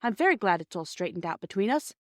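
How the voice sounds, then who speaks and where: A woman's voice: mid-range voice, big pitch swings, quick pace, no echo, clean studio sound, lightly acted. A woman voicing a proud, earnest and impatient young schoolboy in a storybook, with a boyish, blurting manner. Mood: eager, confiding.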